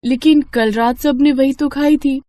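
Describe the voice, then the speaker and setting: A woman voicing a young daughter-in-law, polite but exasperated underneath, in an animated family story. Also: sits high, unhurried, pitched above the performer's own voice. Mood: polite, concerned. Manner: polite aloud, grumbling inwardly.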